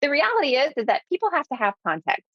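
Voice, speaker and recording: A woman's voice: high-pitched, big pitch swings, fast, dry recording, muffled recording. A woman who runs her own business, speaking emphatically in an interview and repeating key words for stress. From southeastern United States. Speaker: guest, business owner. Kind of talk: conversational interview.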